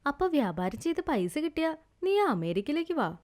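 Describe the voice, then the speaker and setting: A woman voicing an eager, cheeky younger sister in a village storybook tale, excitable and pleading. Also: high-pitched, moderate pace.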